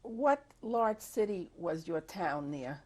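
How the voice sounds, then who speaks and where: A woman asks her interview questions in a measured, formal tone. Her voice is low and husky with a mellow, dark color. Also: lively intonation.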